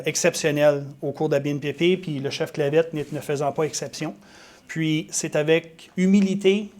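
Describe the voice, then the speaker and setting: A deputy police chief giving an earnest acceptance speech at a council meeting, with frequent hesitations and restarts. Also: male voice, a little high, darkish tone. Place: council chamber.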